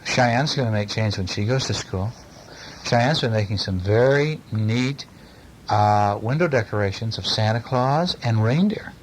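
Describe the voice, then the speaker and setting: A middle-aged man, a father chatting and reading casually to a child in a gentle, playful way, often asking little questions. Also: fairly low pitch, a little slow. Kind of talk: casual chat and bedtime story reading to a child. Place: at home, lying down beside a child. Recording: noisy amateur home recording.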